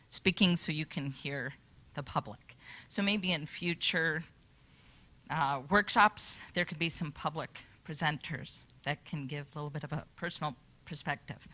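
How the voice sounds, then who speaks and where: A woman's voice: sits low, pushed and bright. A woman from Michigan making a nervous but earnest public comment at a workshop microphone. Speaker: audience member at a public workshop. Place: conference hall. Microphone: handheld microphone.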